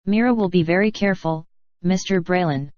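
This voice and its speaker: A woman's voice: fairly low pitch, brisk, a little mellow, dry recording, lightly acted. Synthetic meek servant woman, as a narrator voices her. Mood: compliant, deferential. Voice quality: synthetic text-to-speech voice. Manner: compliant, deferential, stilted. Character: meek, anxious, obedient female servant. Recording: dull, lo-fi audio.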